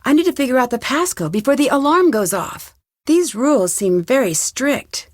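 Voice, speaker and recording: A woman voicing a curious, determined young female detective in an adventure game, talking to herself in clear, purposeful scripted lines. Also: high voice, expressive, normal speed, dry recording, clean studio sound. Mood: focused, curious. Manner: clear self-talk, inquisitive and purposeful. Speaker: game protagonist.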